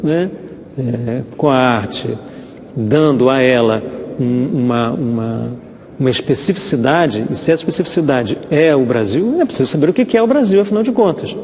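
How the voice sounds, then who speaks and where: A man's voice: mid-range voice, lively intonation, moderate pace, a little mellow, a little hiss or hum. A Brazilian man giving informal expert commentary, hesitating and repeating words as he explains, recorded with echoey reverb.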